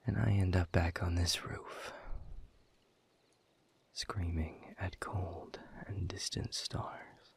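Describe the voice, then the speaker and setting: A man playing a weary, bitter exiled demon in an audio drama, speaking in a gravelly, breathy half-whisper with a slow, sardonic delivery. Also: sits low, little pitch movement, full character voice. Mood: brooding bitterness. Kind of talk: dramatic audio roleplay monologue to the listener.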